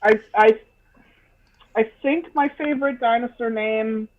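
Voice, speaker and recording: A man's voice: very high-pitched, measured pace, lo-fi sound. A man in his twenties, a native Scots speaker, chatting casually and jokily as co-host of a group livestream on a video call.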